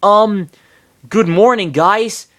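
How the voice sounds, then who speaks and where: A man's voice: high-pitched, very slow, a bit bright, voice pushed up, full character voice. A man voicing a nervous young male cartoon hedgehog who asks timid, uneasy questions.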